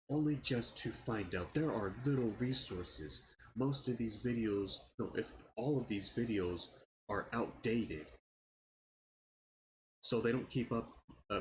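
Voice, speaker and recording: A young man with a stuffy nose, talking in a casual vlog about his frustrations, halting and restarting his words. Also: fairly low pitch, background noise. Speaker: channel owner giving an update. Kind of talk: casual personal vlog rant.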